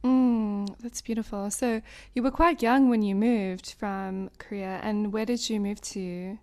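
A woman's voice: medium pitch, steady tone, measured pace. A woman hosting an interview podcast, asking questions and giving short encouraging replies. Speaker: podcast host. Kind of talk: conversational interview.

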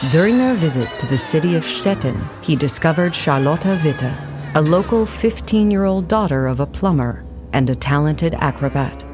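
A woman's voice: low-pitched, expressive, normal speed, music in the background. A woman narrating an audio play in a scripted documentary style.

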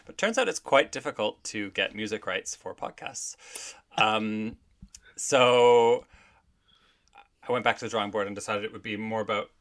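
Bright-voiced Canadian man, chatty. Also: lowish voice. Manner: chatty, lots of "like" and "I think". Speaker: guest, drag performer and podcast host. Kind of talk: casual interview chat.

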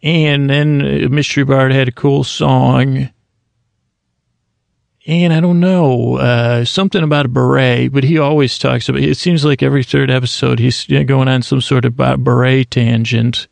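A man's voice: medium pitch, steady tone, moderate pace, dry recording. A man hosting a sleep podcast, meandering through a self-deprecating ramble meant to lull listeners to sleep. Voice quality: creaky. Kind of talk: rambling bedtime talk.

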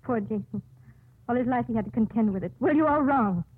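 A woman's voice: a little high, fairly quick, breathy edge, dry recording. A woman playing a proud, bitter young prisoner condemned for murder, sardonic and clipped, in a 1950s radio drama. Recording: muffled, dry 1950s radio broadcast.

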